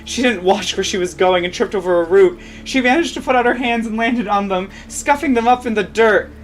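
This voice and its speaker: A woman's voice: mid-range voice, fairly quick, a little hiss or hum. A woman reading fan fiction aloud as a dramatic story narrator.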